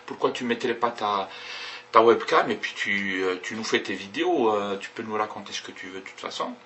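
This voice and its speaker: A man's voice: lowish voice, brisk, bright, forceful tone, slight background noise. A man with Kabyle as his first language, talking casually to a webcam in a rambling way, with many hesitations and restarts. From Kabylie, Algeria. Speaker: vlogger. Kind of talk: casual webcam talk to viewers.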